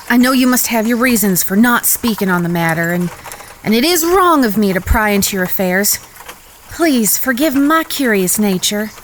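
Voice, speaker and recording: Female actor as genteel Old West sweetheart, politely coaxing, slightly high voice, Western radio-play style. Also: slight background noise, natural voice. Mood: apologetic. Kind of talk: Western audio drama acting.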